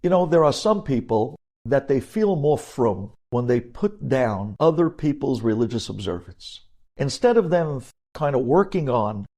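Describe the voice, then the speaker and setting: A man with a mellow, relaxed tone and a medium pitch delivers a religious lecture as a rabbi. He addresses his listeners directly and stresses his points firmly. Hebrew religious terms are woven through his sermon-like delivery. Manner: emphatic, addresses listeners directly with rhetorical questions. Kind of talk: sermon-like lecture with storytelling and acted-out dialogue.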